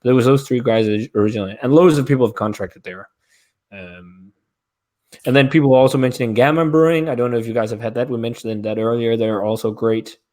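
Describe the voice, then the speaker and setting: A man's voice: medium pitch, fast, darkish tone. A young man with a Danish accent, hosting a casual live-stream chat, explaining at length with many fillers. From Denmark. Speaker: beer channel host.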